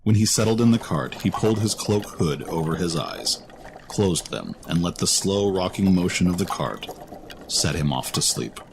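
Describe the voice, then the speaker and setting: A man's voice: deep voice, expressive, normal speed, gravelly, over music. A man narrating a scripted fantasy story in a dramatic storytelling style.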